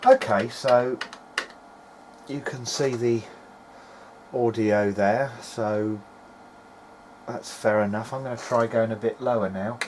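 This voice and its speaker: A man's voice: medium pitch, fairly even intonation, slow, pauses often, a little mellow. A man giving an informal, hesitant video-diary narration as he tests equipment, thinking aloud in a noisy amateur recording. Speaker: hobbyist electronics repairer.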